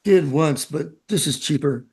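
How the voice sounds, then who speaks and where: A man's voice: fairly high pitch, measured pace, vocal fry, a little mellow, breathy edge, full character voice. A man acting in a radio drama as a chatty, knowing, worldly man with a breezy, opinionated way of talking.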